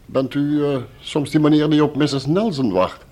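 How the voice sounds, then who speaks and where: An actor playing a courteous, chatty café waiter in a Dutch radio drama, with a husky voice and obliging manner. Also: male voice, a little high, normal speed, warm tone, natural voice. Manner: obliging, polite.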